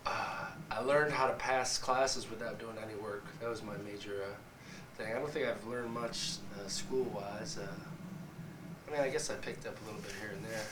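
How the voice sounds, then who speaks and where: A man's voice: on the low side, normal speed. Young man, scratchy, cocky joker, echoey distant room recording. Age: young adult. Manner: wisecracking, boastful. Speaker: college football player. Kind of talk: joking interview to camera. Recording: echoey room, off-mic, ambient noise.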